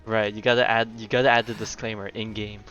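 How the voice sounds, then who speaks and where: A man's voice: on the low side, level tone, fast talker, fairly bright tone, dull audio, soft background music. A man giving casual, chatty live commentary as he streams a game, musing to his viewers.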